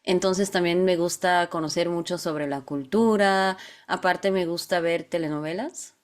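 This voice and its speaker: A Bulgarian woman speaking Spanish with a Mexican accent, talking fluently and in a relaxed way as a guest. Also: fairly low pitch, a little slow. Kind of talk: casual conversation.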